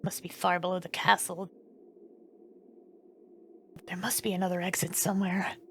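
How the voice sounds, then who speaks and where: A woman voicing a curious, plucky, informal teenage girl in an anime fandub, casual and expressive. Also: lowish voice, moderate pace, a little hiss or hum, natural voice. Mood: puzzled, wry. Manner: casual, expressive, talks to herself.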